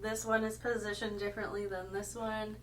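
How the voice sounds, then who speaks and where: A woman's voice: medium pitch, level tone, brisk, recorded from a distance, slight background noise, rough recording. A woman with a cold and a slightly congested voice chatting casually through a makeup review, rambling and correcting herself as she goes. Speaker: beauty vlogger. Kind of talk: casual product review to camera.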